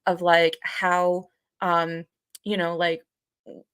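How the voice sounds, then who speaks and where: A woman's voice: lowish voice, level tone, takes it slowly, fairly bright tone, clear, resonant tone. A woman co-hosting a live podcast, chatting informally and hesitantly as she searches for her words. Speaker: podcast co-host. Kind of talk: casual live podcast chat.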